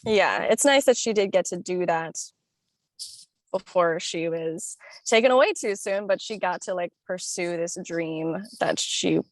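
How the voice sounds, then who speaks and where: A woman's voice: on the low side, measured pace, no echo. A young woman, a podcast guest, chatting casually and upbeat, often saying "like" and laughing. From born in Italy, raised in northern Florida. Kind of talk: casual chat.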